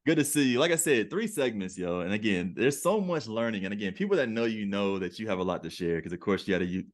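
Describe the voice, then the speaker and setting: A man's voice: fairly low pitch, fast. A man hosting a podcast, talking in a casual, hyped-up way with lots of slang. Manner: hype, slangy, animated. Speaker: podcast host. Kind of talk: casual interview.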